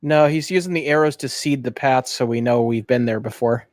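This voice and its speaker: A man's voice: medium pitch, fairly quick, dry recording, studio quality. A man talking casually as a player in a tabletop role-playing game.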